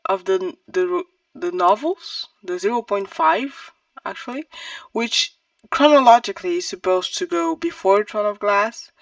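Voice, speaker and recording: A book reviewer talking casually and thinking aloud, in a halting, hesitant and self-correcting way. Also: mid-range voice, expressive, dry recording, distant mic, low-quality recording. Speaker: book reviewer on own channel.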